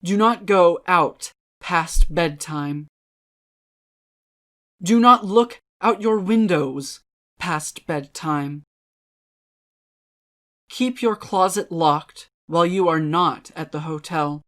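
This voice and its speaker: A woman giving a scripted spooky dramatic reading, ominous and deliberate, with measured dramatic pauses. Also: lowish voice, fairly bright tone. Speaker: dramatic reader.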